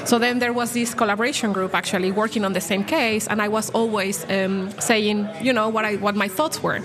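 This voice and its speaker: A woman's voice: mid-range voice, steady tone, brisk, a crowd in the background, low-quality recording. A woman in her forties from Latin America, a bank program director speaking as an interview guest, passionate and full of restarts and fillers. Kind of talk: podcast interview.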